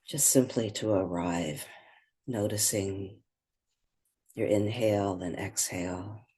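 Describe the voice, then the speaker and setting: A meditation guide speaking gently and without hurry, leaving pauses between short phrases. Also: sits low, slightly rough.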